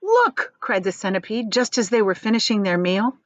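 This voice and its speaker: A woman's voice: mid-range voice, brisk, no echo. A woman reading a children's book aloud as a teacher would, expressively, adding explanations for her listeners.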